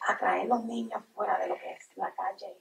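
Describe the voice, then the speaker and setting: Woman interviewer, strong creaky fry, chatty, interrupts a lot, off camera. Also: medium pitch, fairly even intonation, normal speed, muffled recording. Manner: chatty, interjects and talks over the guest. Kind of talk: casual conversational interview.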